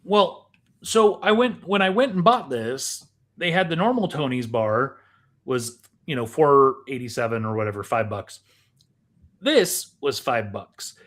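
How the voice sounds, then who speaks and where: A man's voice: mid-range voice, animated, measured pace, fairly bright tone. Casual man chatting on a podcast. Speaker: podcast co-host. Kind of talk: casual chat, snack tasting.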